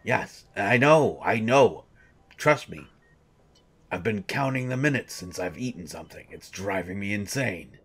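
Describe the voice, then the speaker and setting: A man voicing a gruff male sports coach who is slowly turning into a hungry monster, speaking haltingly and repeating himself. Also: on the low side, animated, fairly bright tone, full character voice. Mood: irritated. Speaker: audio drama voice actor. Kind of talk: audio roleplay monologue.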